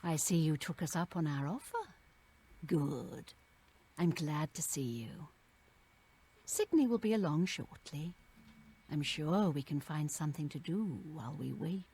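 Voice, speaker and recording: A woman's voice: low-pitched, lively intonation, unhurried, dark tone, slight background noise. An actress playing a seductive, scheming female bandit in a fantasy video game. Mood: coy, seductive.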